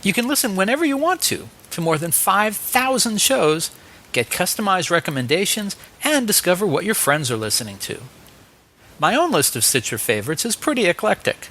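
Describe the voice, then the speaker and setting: A man's voice: high voice, very animated, moderate pace, bright, forceful tone. A man with an American accent, hosting an interview show and reading out announcements and sponsor plugs. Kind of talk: podcast interview and sponsor reads.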